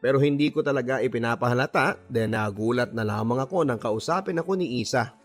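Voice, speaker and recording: A man with a Filipino accent narrating a scripted first-person love story in an expressive radio storytelling style. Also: medium pitch, quick pace. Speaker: radio drama narrator reading a listener's letter. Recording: voice over background music. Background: music.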